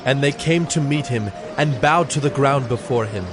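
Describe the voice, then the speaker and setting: A man's voice: mid-range voice, normal speed, background noise. A man narrating scripture from a script in a solemn storytelling manner. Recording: background music under the voice.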